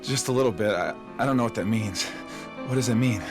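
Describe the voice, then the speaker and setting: A man's voice: on the low side, a little fast, close to an everyday voice. A man playing an awkward, foul-mouthed, stammering young boyfriend in a video game cutscene. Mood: hesitant, sorrowful. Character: awkward, panicky young boyfriend, wounded then beheaded. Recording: loud game music behind.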